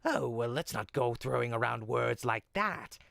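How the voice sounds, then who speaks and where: A performer voicing a sly, smooth-talking goblin potion peddler in an audio roleplay, with folksy, teasing salesman patter. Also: medium pitch, lively intonation, normal speed, a bit bright, dry recording, clean studio sound. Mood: teasing, wheedling. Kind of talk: audio roleplay, in-character monologue to listener.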